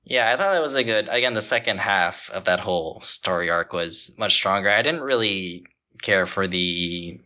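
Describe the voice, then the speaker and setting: A man's voice: sits low, animated, moderate pace, pushed and bright. A man who hosts a podcast, casually retelling TV scenes and quoting the dialogue. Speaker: podcast host. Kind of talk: casual chat, recounting TV scenes.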